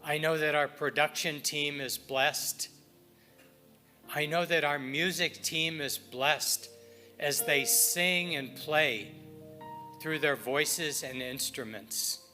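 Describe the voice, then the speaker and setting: Male devotional speaker, bright and forceful tone, unhurried reverent phrasing with deliberate pauses, for service readings and prayer. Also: fairly high pitch, steady tone, faint music behind. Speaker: spiritual practitioner leading readings and prayer at a service. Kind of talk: inspirational readings and spoken prayer.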